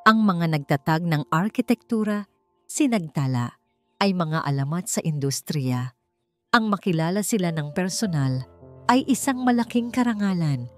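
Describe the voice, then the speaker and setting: Filipino woman narrating over music, lowish voice. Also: lively intonation, normal speed. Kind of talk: scripted dramatic romance story narration. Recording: music under the voice. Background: background music.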